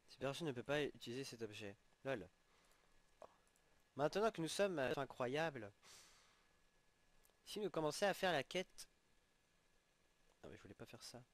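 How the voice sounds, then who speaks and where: Young male gamer with a sniffly cold, chatty play-by-play, mid-pitch voice. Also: very animated, moderate pace, pauses often. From French-speaking. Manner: chatty, exclaiming at in-game rewards. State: has a head cold, sniffling. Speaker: gaming channel host. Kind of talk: casual game commentary.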